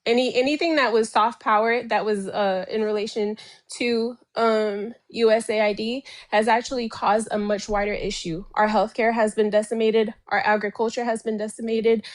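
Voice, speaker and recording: A Haitian woman, a guest in a political debate, speaking slowly and deliberately with firm emphasis. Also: fairly high pitch, fairly even intonation.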